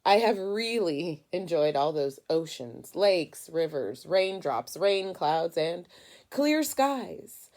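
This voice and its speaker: A woman's voice: fairly low pitch, very animated, takes it slowly, darkish tone, full character voice. Woman reading as a weary stubby blue crayon.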